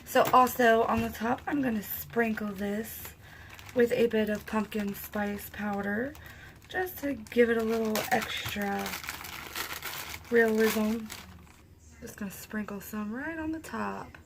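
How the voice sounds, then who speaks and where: A woman hosting a soap-making video, talking softly and casually because her throat is sore and she is losing her voice. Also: fairly high pitch, takes it slowly, a little hiss or hum, low-quality recording. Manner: soft-spoken, talks quietly. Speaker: soap-making channel host. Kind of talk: casual craft tutorial narration.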